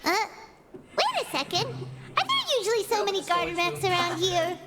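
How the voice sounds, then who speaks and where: A woman voicing a small, childlike fairy companion in an anime game, squeaky, excitable and easily flustered, exclaiming as she goes. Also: normal speed, heavy background noise. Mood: puzzled. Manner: exclamatory, talks of self in third person. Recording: game music behind.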